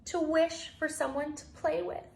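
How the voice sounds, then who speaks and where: A woman's voice: fairly even intonation, a little slow, creaky, darkish tone. A woman voicing a grumpy, lonely bear with emphatic exclamations in a children's storybook. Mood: sad. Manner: emphatic, high-pitched exclamations. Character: grumpy, lonely bear guarding the leaf's magic. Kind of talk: children's picture-book read-aloud. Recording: roomy echo.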